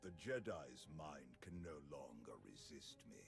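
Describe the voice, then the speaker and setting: A man playing an ancient, immortal Sith emperor in a video game, with a gravelly, grandiose and menacing delivery. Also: a bass voice, big pitch swings, a little slow, exaggerated voice. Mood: gloating menace. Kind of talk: dramatic video game voice acting. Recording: loud music under the voice.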